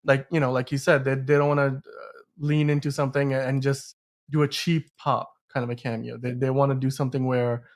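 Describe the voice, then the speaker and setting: A man's voice: mid-range voice, little pitch movement, brisk. A man hosting a casual movie discussion podcast, talking in a wordy, circling way with plenty of hedging. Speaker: podcast host.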